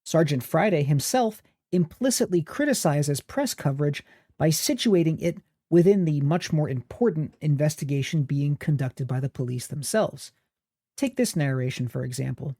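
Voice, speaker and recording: Man narrating a history podcast, mellow. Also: on the high side, animated, dry recording, studio quality. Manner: measured, analytical, critical. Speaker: podcast host.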